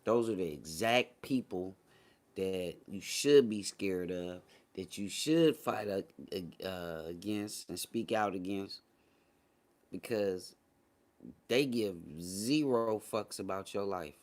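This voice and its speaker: A man's voice: low voice, takes it slowly. An American man in casual, unscripted talk, opinionated and blunt, with slangy phrasing and plenty of swearing. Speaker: morning show host. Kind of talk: casual chat.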